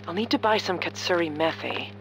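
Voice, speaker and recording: A woman voicing a composed, gently reserved, worldly woman in a video game, speaking in a measured, thoughtful way. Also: fairly low pitch, expressive, creaky, noisy background. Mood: calm, thoughtful.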